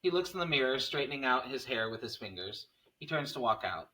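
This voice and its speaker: A man's voice: medium pitch, lively intonation, brisk, sharp tone, rough recording. A man reading screenplay stage directions as narrator at a table read.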